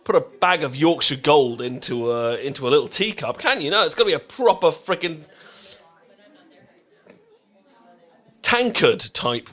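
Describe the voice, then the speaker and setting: Actor as chatty, bashful English boyfriend. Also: male voice, a little high, lively intonation, fairly quick, fairly bright tone. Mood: playfully indignant. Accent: British English. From England. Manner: hesitant, stammering, conversational, enthusiastic. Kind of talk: romantic boyfriend roleplay ASMR audio.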